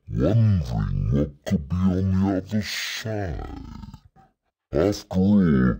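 A deep, gravelly gloating narrator. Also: male voice, a little mellow, exaggerated voice. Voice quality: deep gravelly drawl, slow and savoring. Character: smug male game narrator, gloating. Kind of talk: video game voice acting.

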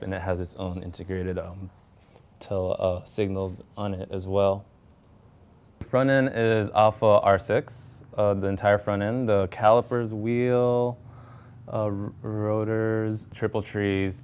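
A young man giving a casual, matter-of-fact technical walkthrough as a bike builder, in a halting way. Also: low-pitched, a little mellow, clean tone. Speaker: custom motorcycle builder. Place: home garage with door open.

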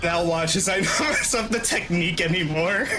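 Young man, fairly high voice, casual speedrun commentary, explanatory, chatty, game music behind. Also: moderate pace, sharp tone. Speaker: speedrunner playing live at a charity marathon. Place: small indoor room at home. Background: video game music.

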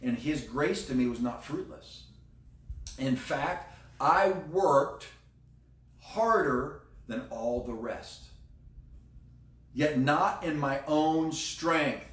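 A man's voice: a little high, very slow, long pauses, a bit bright. American pastor, man preaching in echoey room. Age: middle-aged. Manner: emphatic, repeats key phrases. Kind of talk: sermon teaching, conversational. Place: indoor room beside a screen. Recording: roomy echo, distant microphone.

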